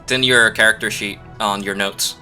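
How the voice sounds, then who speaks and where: A man's voice: on the low side, steady tone, brisk, bright, forceful tone, faint music behind. A man acting as game master, chatting casually and answering players in a laid-back, rambling way. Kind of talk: casual chat running a tabletop game.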